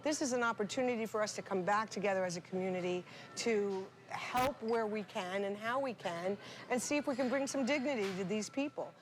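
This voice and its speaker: A woman's voice: medium pitch, a little fast. A woman community organizer giving an earnest, casual interview.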